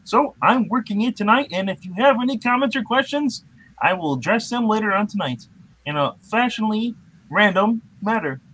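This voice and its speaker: A goofy, self-important male frog puppet, put on by a performer, bantering on a live comedy show. The voice is rough and husky. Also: medium pitch, lively intonation, normal speed, a bit bright, poor audio. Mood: jokey, boastful. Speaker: puppet co-host running the show behind the scenes.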